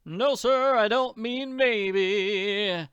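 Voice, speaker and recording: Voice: mid-range voice, big pitch swings, takes it slowly, dry recording, lo-fi sound, voice pushed up, exaggerated voice. A performer voicing a jovial rich tycoon from a comic strip, a proud father with brash, slangy bluster. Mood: carefree singing. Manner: slangy, loud, gleeful bragging.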